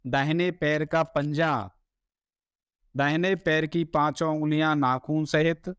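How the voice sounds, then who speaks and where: A man giving soothing, deliberate guided meditation instructions as a yoga nidra teacher. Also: fairly high pitch, steady tone, a bit bright, smooth, dry recording, clean studio sound.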